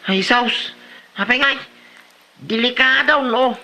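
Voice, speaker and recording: A man's voice: very high voice, slow pace, pushed and bright, heavily acted, low-quality recording. A man playing a mischievous prankster husband in a rural comedy drama, with an Ilocano accent and a teasing, exclamatory delivery. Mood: mock-serious teasing.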